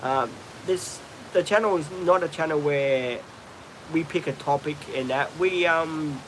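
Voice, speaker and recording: A man's voice: on the high side, a little slow, a little hiss or hum, low-quality recording. An Australian man hosting a casual live stream, chatting in a rambling way with frequent ums and restarts. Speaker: livestream host. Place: outdoors, birds in trees nearby.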